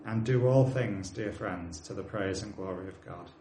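A man's voice: sits low, normal speed, warm tone, echoey room, a little hiss or hum. A man of forty with a British accent, preaching a sermon, earnestly urging his congregation and dropping in plain, friendly asides. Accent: British English. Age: 40s.

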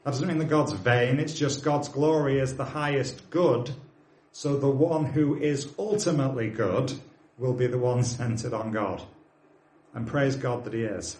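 A British man in his forties preaches to his congregation, earnest and exhorting, with a mid-pitched voice. He slips easily into friendly asides. The sound rings around a roomy church space. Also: unhurried, a little mellow. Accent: British English. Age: 40s. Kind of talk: sermon.

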